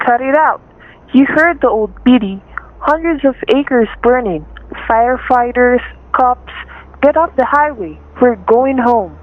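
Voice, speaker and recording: Tinny old radio sound, fugitive gangster, menacing, bossy, jumpy, curt tough slang, crime drama. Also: male voice, extremely high pitch, lively intonation, measured pace. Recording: narrow, tinny vintage radio recording.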